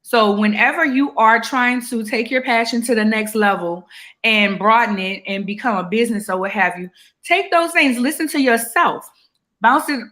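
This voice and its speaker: Bubbly high-voiced woman in her forties. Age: 40s. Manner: animated, laughs often. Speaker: podcast host. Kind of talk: casual chat.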